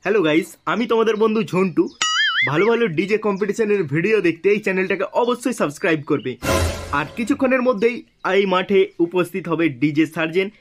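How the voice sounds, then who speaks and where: A man's voice: high voice, quick pace, loud music behind the voice, lightly acted. A man voicing a brash, know-it-all young village fellow in a comedy cartoon, speaking bluntly with a West Bengal accent. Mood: eager presenting. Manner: blunt, colloquial, exclamatory. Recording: clearly noisy track with clicks.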